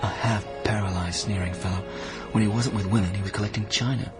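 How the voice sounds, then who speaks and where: An actor playing a snobbish, scandal-loving society gentleman in a period audio drama, haughty and clipped. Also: lowish voice, steady tone, fairly quick, natural voice. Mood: haughty, scandalised. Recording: loud music behind the voice.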